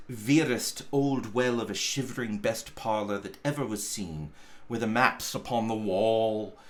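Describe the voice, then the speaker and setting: A man reading a classic story aloud as narrator, with deliberate, dramatic phrasing. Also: fairly low pitch.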